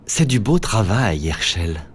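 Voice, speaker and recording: An actor playing a menacing villainous scientist with measured, mocking politeness in an animated game. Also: male voice, fairly low pitch, slight background noise. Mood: smug. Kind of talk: animated game cutscene acting.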